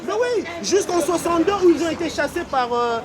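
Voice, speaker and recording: High-voiced man over a loud crowd. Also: expressive, fairly quick. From Congo. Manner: emphatic, insistent, repeats points. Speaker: interviewee at a protest. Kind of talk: heated street interview.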